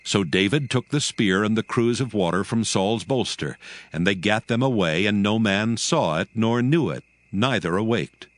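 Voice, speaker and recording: A man narrating a dramatized audio Bible in a solemn, measured storytelling style. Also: on the low side, animated, dry recording.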